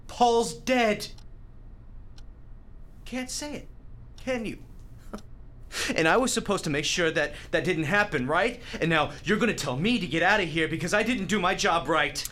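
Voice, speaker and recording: Slow-spoken, guarded young New man. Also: high voice, lively intonation, bright, forceful tone, exaggerated voice. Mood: distraught, defensive. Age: young adult. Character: gentle, guarded young male hospital volunteer from the Bronx. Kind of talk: radio drama acting.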